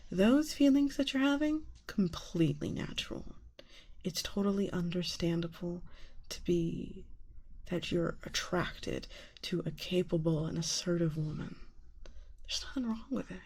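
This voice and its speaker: ASMR roleplay therapist, acted by a woman: very slow, lowish female voice, soothing and quietly manipulative, slipping into half-whispers. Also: animated, slightly rough, exaggerated voice. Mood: reassuring. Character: soothing, subtly manipulative female therapist guiding hypnotic relaxation.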